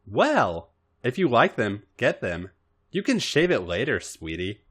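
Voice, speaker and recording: A man's voice: medium pitch, huge pitch swings, unhurried, a bit bright, breathy edge, heavily acted. A man voicing a fond, worldly woman who mentors a younger friend, coaxing with pet names in a story reading. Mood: flustered, indulgent.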